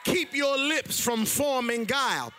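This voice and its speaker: A man preaching a sermon in a church, emphatic and loud, mixing humor with exhortation. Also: very high voice, animated, measured pace, a little hiss or hum. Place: church sanctuary.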